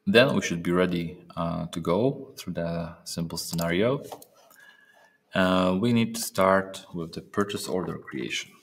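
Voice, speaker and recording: A man narrating a step-by-step software training demonstration, speaking haltingly with pauses in mid-phrase. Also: deep voice, gravelly, breathy edge. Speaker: software trainer presenting a tutorial.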